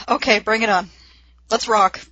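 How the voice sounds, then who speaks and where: A woman from Canada speaks as a podcast co-host in casual conversation. Her voice is bright and forward, sitting at a middle pitch for a woman. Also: breathy edge, no room sound, muffled recording. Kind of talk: casual comic book discussion.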